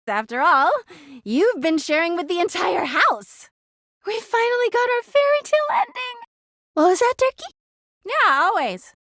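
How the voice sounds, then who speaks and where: Video game role: lovesick female object come to life, needy, passive-aggressive, high-pitched with very animated swings. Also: no room sound, studio quality. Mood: sweet, clingy. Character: clingy, volatile, lovesick female living laundry hamper. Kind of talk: video game character acting.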